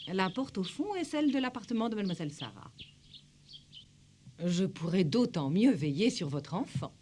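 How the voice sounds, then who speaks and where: A woman voicing a genteel, self-important headmistress in an animated drama, formal and flattering in manner. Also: mid-range voice, normal speed, a little hiss or hum, close to an everyday voice. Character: genteel, effusive, self-important headmistress of girls' boarding school. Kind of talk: French-dubbed animated drama acting.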